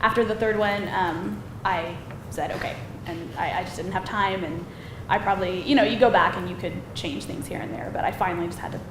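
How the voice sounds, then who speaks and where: A woman writer giving casual, modest and somewhat hesitant answers on a panel. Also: a little fast. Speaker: screenwriter and novelist on a Q&A panel. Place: live film festival event room. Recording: echoey room with clear noise, rumble.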